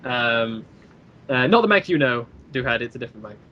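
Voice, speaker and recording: A man in a casual podcast chat, explaining his own creative work in a rambling, halting way and repeating phrases as he goes. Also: medium pitch, animated, sharp tone, muffled recording. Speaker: guest, creator of an audio drama series.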